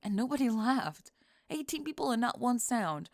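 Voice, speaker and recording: A young woman playing a sharp-tongued, defensive goth college student who is secretly smitten, in an ASMR roleplay, speaking in halting, clipped, emphatic phrases. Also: fairly high pitch, very animated, no echo, studio quality. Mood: earnest, confessing. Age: young adult. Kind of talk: ASMR roleplay monologue, intimate audio drama.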